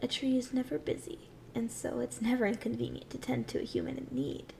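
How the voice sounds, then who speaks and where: A woman voicing a gentle, ancient willow tree spirit in a soft, breathy ASMR fantasy roleplay, tender, soothing and often close to a whisper. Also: fairly high pitch, lively intonation, brisk, slight background noise, rough recording. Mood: warm, caring.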